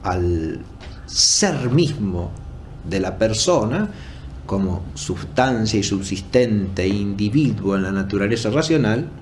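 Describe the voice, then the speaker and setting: Man lecturing over steady background noise. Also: on the low side, slow. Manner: didactic, frequently checks with "¿no?". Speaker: philosophy lecturer. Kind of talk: unscripted academic lecture.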